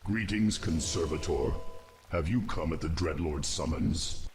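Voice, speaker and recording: Man voicing a very deep, grim undead commander. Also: over music. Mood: commanding. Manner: stern, formal, measured. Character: grim, disciplined undead male commander of the Scourge. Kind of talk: video game cutscene acting.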